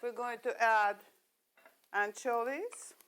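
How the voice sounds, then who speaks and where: A woman's voice: on the high side, slow pace, fairly bright tone, a little hiss or hum. A middle-aged woman from Russia, a guest talking through each step as she cooks on a TV show and laughing easily. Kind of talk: cooking demonstration chat.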